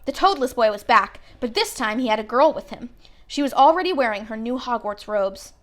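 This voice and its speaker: Female storybook narrator, higher-pitched, expressive read-aloud delivery. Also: a little fast.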